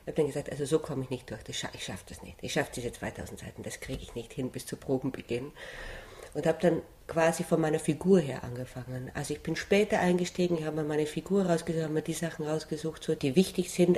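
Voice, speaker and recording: A middle-aged woman from Vienna speaks in a low voice. She tells stories casually, in a colourful and self-deprecating way. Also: darkish tone. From Vienna, Austria. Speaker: actress interviewed. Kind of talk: casual anecdotal storytelling.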